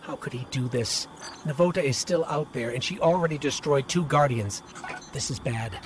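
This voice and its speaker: An actor playing an anxious, earnest little AI robot companion in a video game, reporting things precisely. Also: male voice, medium pitch, moderate pace, slight background noise, close to an everyday voice. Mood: worried.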